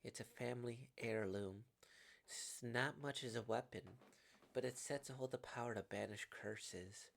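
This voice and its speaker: A woman performs a young cursed heroine from a visual novel, tragic and set on giving up her life. Her delivery is slow and strained, pleading with whoever listens. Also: extremely low pitch, vocal fry, pitched below the performer's own voice, full character voice. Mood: solemn, resigned. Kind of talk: dramatic visual novel read-aloud.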